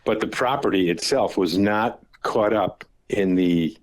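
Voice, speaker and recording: Older man on a call, low voice, halting recollections. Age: 70s. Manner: halting, repeats words and restarts while recalling. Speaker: father recounting family business. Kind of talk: phone call conversation. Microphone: phone call.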